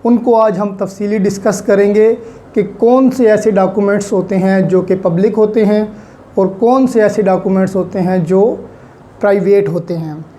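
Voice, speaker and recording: A Pakistani-accented law teacher with a soft, mellow tone and a mid-range pitch. The delivery is explanatory and didactic, restating each key point and dropping English legal terms into the lecture.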